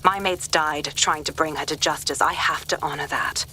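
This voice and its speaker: An actress playing a determined, embittered police inspector in a video game, speaking earnestly with a British accent and a hard edge. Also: female voice, sits low, fairly even intonation, normal speed, sharp tone, slight background noise. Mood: bitter resolve.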